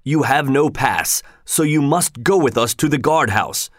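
A man's voice: medium pitch, animated, a little slow, no echo, clean studio sound. A man playing a stern, suspicious leader of citizen guards, with a commanding manner, in an audiobook story. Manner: commanding, challenging.

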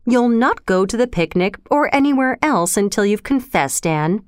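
A woman voicing a stern, sensible guardian of a girl in a storybook, firm, measured and restrained. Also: medium pitch, very animated, smooth, no room sound, studio quality, natural voice. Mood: angry. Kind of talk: storybook character voice.

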